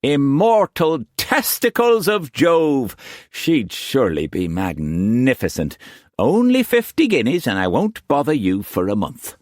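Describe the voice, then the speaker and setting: A man's voice: mid-range voice, sing-song, a little slow, a little mellow, no room sound, studio quality, pitched above the performer's own voice. A man voicing a flamboyant, theatrical old male painter who talks in grand exclamations. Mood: wheedling.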